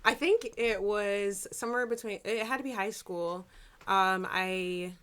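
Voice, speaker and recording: A woman singer from Michigan answering interview questions, soft-spoken and a little hesitant. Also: mid-range voice, unhurried, clear, resonant tone. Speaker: singer, group member.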